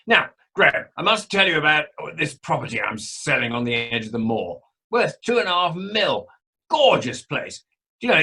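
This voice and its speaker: A man acting a hearty, boastful, flirtatious British estate agent in an amateur radio play, with a husky voice and blustering manner. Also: a little high, pushed and bright, exaggerated voice. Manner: blustering, plummy old-chap heartiness. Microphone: video call.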